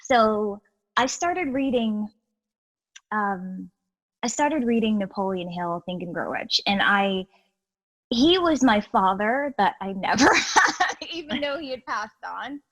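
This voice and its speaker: A woman's voice: medium pitch, slow, low-quality recording. A woman from Guyana, a guest chatting earnestly in an interview, full of restarts and asides. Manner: animated and earnest, many "you know"s and restarts. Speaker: guest, healer and educator. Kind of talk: casual interview chat.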